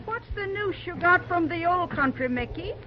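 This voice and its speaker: A lively, motherly diner owner. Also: very high voice, normal speed, music in the background. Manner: homely exclamations, lively and motherly. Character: kindly, brisk woman who runs a railroad diner. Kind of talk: 1940s radio acting. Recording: dull old radio recording with hiss.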